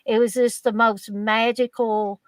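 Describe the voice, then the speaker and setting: A woman with a Southern Kentucky accent, chatting on a podcast with a fairly high, bright-toned voice. She tells her story earnestly and gushes, doubling back on her words when excited. The sound is close and dry, with no room echo. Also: unhurried. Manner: earnest, effusive, repeats herself when excited. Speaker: podcast guest. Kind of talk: casual storytelling chat.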